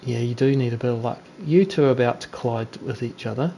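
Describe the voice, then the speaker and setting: A man's voice: mid-range voice, quick pace, creaky, mellow, a little hiss or hum. A man, chatting casually and teasing other drivers as he streams an online race on a gaming headset. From New Zealand. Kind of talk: casual live-stream commentary and chat.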